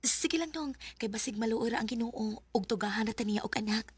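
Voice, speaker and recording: A woman playing a gentle, shy young woman in a Bisaya radio drama, speaking softly and hesitantly with a Bisaya accent. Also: a little high, a little fast, natural voice. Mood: concerned. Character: Murmur, gentle shy young woman, later a childless wife.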